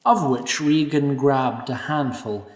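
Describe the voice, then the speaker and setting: Slow, expressive male narrator in an echoey room. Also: mid-range voice. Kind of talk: scripted audio-drama narration. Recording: echoey room, distant mic.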